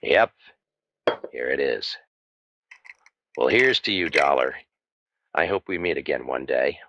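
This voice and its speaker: A man playing a wry, world-weary hard-boiled private detective in an old-time radio drama, with deadpan delivery. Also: rumbling low, a little slow, slight background noise, full character voice. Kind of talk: old-time radio noir narration and acting. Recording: muffled audio with music underneath.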